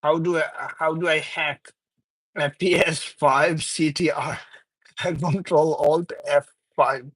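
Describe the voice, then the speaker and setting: A young man from Bangladesh with a slightly high voice, chatting loosely on a livestream. He chuckles often, reads viewers' comments aloud and teases them back. His voice sounds dry and close, with no room around it. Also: steady tone, takes it slowly, a bit bright, slightly rough, no room sound, poor audio. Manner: loose, repetitive, teasing banter. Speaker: livestream host. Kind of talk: casual live chat with viewers.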